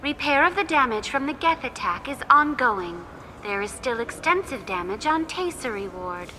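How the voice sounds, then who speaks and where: A courteous artificial guide in a game, high and melodic. She recites information formally and precisely over clear background noise. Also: big pitch swings, moderate pace, sharp tone, heavily acted, poor audio. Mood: informative. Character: polite female virtual guide, helpful impersonal public information program. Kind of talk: scripted public announcement.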